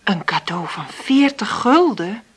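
A woman playing a middle-aged, hard-up mother in a naturalistic radio drama, understated and hesitant, with a husky, breathy voice. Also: mid-range voice, very animated, slow, heavily acted. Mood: coaxing. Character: hard-up, dreamy single mother, gentle but stubbornly hopeful.

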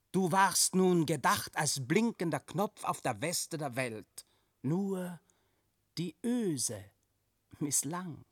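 An actor playing an uncanny, unbending button moulder who collects souls, deliberate, sly and mock-friendly in a German radio drama. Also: male voice, on the high side, dry recording, clean studio sound. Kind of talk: theatrical German radio drama acting in verse.

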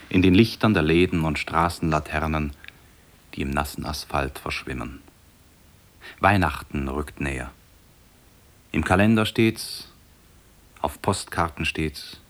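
Observant storyteller in an old radio play, really deep voice, measured scripted reading. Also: male voice. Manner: measured, descriptive. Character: solemn, observant male narrator of city street. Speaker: narrator. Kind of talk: 1960s radio drama, literary scripted narration.

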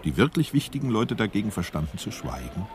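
A man's voice: lowish voice, big pitch swings, a little fast, faint music behind. A man narrating a satirical radio play in a solemn, mock-heroic storytelling manner.

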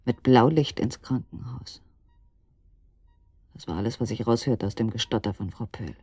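An actress playing an anguished middle-aged wife on a night vigil at her husband's hospital bed, breathy, halting and brooding, in an intimate radio drama monologue. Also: extremely low pitch, mellow, dry recording. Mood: weary, brooding. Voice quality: breathy, often dropping to a whisper. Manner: halting, brooding, addressing someone who cannot hear.

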